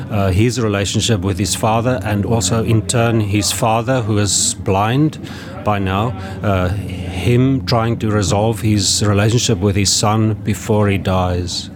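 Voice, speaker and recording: A man, a novelist from South Africa whose first language is Afrikaans, speaks with a South African accent and talks about his book in a hesitant way, pausing often with many ums and restarts. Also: on the low side, steady tone, noisy background. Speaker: author. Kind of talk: festival interview.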